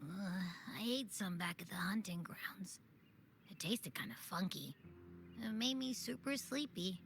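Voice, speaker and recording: A woman's voice: medium pitch, expressive, a little slow, fairly bright tone. A woman voicing a small, timid and loyal creature companion in a game cutscene, whiny and hesitant, with groans and stammers. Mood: groggy, whiny. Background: game music.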